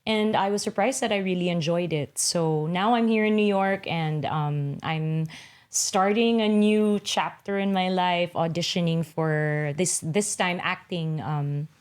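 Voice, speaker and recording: A woman's voice: lowish voice, unhurried, clear, resonant tone. A middle-aged woman from the Philippines, a singer and actress, chatting as a guest in an interview with many fillers and restarts. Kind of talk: casual interview conversation.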